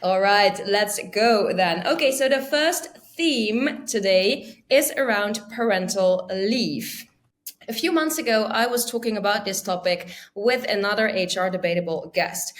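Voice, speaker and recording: A woman hosting a debate podcast, clear and organized, reading out prepared background before each statement. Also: medium pitch. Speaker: podcast host.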